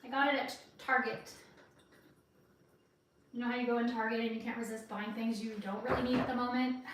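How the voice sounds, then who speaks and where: A woman's voice: on the high side, level tone, brisk. A woman hosting a casual cooking livestream from her home kitchen, chatting, laughing often, and sniffling from a runny nose. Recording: echoey kitchen, far from mic, background noise.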